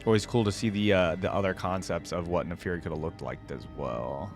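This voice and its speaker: Low-voiced man reacting over loud music. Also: brisk. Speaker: host of a game reaction video. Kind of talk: casual reaction commentary. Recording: music playing behind the voice. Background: game theme music.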